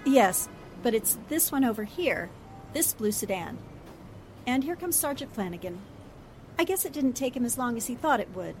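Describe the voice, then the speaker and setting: An actress playing a sharp-witted newspaper reporter who lays out her deductions in a poised, precise way. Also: fairly high pitch, expressive, normal speed, faint music behind. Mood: friendly. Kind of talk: amateur audio drama acting.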